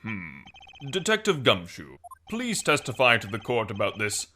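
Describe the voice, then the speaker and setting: A man acts out a solemn, authoritative judge from a courtroom video game. His mid-pitched voice is forceful and very varied in intonation, formal and deliberate, with music behind. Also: wildly swooping pitch, slight background noise, heavily acted. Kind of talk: game fandub character acting.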